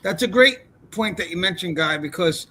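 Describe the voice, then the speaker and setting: A man hosting a true crime interview, asking long, measured, multi-part questions. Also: high voice, lively intonation, pushed and bright, a little hiss or hum. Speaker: true crime podcast host.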